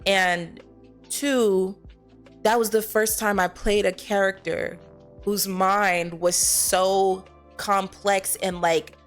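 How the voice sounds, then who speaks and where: Chatty actress over faint music. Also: female voice, mid-range voice, fairly even intonation, slow. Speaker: film actress being interviewed. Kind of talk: casual chat.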